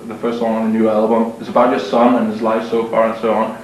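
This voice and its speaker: A man's voice: on the low side, monotone, quick pace, a crowd in the background. A man interviewing a musician, asking questions hesitantly with many fillers, taped from a distance in an echoey, noisy room.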